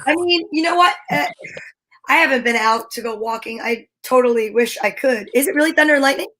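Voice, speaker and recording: A woman's voice: sits high, a little fast, a bit bright, slight background noise, rough recording. A woman co-hosting a show, chatting casually and encouragingly with a guest. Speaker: show co-host. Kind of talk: casual interview chat.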